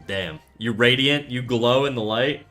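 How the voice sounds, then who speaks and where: Young man, from Canada, livestream chat, bright voice, rambling, swears a lot, faint music behind. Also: mid-range voice, unhurried. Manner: rambling, swears often, many fillers and restarts. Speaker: art streamer. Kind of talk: casual livestream chat reading chat aloud.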